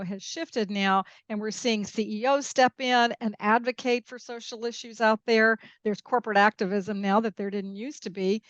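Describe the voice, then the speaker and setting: A woman with a fairly high voice speaks as an interview guest, explaining things with emphasis and checking in as she goes. The sound is dry and a little dull, as in a lo-fi podcast recording. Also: fairly bright tone, dry recording. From Peru and Mexico. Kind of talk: conversational interview commentary.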